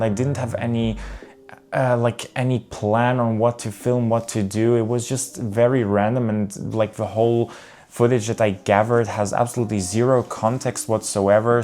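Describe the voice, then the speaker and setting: A man's voice: on the low side, a little mellow. A young man from Serbia, talking candidly and a bit ramblingly about his travels in a casual vlog. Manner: candid, rambling with restarts and fillers. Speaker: travel vlogger. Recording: faint guitar music behind.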